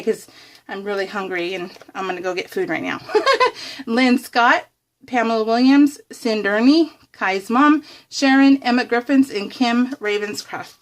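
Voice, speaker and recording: A woman chatting casually and bubbly as a craft vlogger, rambling along with a giggly manner. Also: on the high side. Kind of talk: casual chat while showing scrapbook layouts. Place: indoors, room at home.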